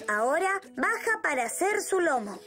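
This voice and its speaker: A woman's voice: very high-pitched, sing-song, moderate pace, music in the background. A woman voicing a cheerful, helpful young boy rabbit in a children's cartoon, encouraging and explaining things step by step. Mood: cheerful, instructive.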